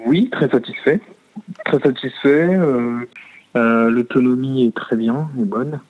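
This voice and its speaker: A man giving casual feedback as a customer, halting often to search for words. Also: mid-range voice. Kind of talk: casual spoken phone feedback. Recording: tinny, narrow phone line.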